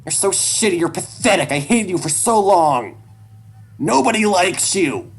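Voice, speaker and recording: A man voicing an angry, hurt and defiant adolescent animal boy in an audio story, shouting and pleading. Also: mid-range voice, big pitch swings, normal speed, slightly rough, pitched above the performer's own voice. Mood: hurt, furious. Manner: shouting, pleading, foul-mouthed.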